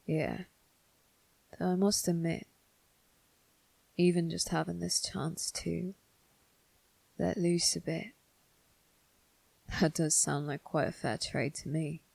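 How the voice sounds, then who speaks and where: A woman voicing a sly, predatory demon disguised as a servant in a scripted ASMR roleplay, with a breathy, whispery voice and a teasing, deliberate delivery. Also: lowish voice, steady tone, a little mellow, no room sound, heavily acted, poor audio. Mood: conspiratorial. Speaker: voice actor.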